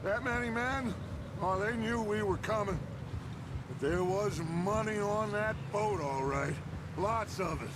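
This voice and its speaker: A middle-aged American outlaw boss in a western game. His male voice sits very high and is thrown out firmly, steadying and rallying his gang. Loud storm noise fills the outdoor scene around him. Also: unhurried. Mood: resolute. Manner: authoritative, urging. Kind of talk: cinematic western video game acting.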